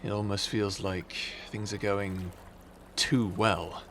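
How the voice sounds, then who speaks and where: A man's voice: lowish voice, level tone, takes it slowly, a bit bright, slight background noise. A man playing an uneasy, informal warrior leader in an ASMR audio roleplay, talking earnestly and hesitantly. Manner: casual, hesitant, earnest phrasing.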